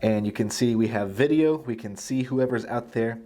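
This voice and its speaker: A man's voice: mid-range voice, moderate pace, warm tone. Male tutorial presenter, mid-range voice, plain-spoken and casual, guiding viewers through a product step by step.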